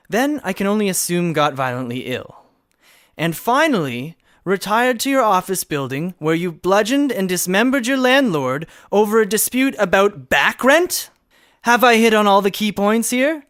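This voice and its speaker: A man acts out an exasperated hotshot prosecutor in a comedy trial. His voice sits a little high and is recorded very dry. He is sardonic and theatrical with the witness and the court. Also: a bit bright, no room sound. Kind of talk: scripted comedy courtroom acting.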